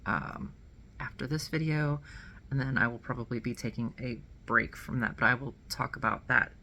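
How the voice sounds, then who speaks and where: Crafter woman, thirties; low, gravelly, cold-nasal voice; rambling home vlog with faint room hum. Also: moderate pace, sharp tone. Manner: rambling and self-correcting, with light laughs. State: nasal, getting over a cold. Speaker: cross stitch vlogger. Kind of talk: casual chat to camera. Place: small indoor room at home.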